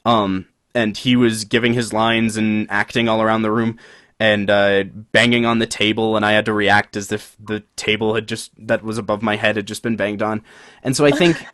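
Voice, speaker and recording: Podcast co-host, man, low-pitched, chatty and rambling, dry sound. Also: moderate pace, no echo. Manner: relaxed, rambling, many fillers. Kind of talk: casual chat.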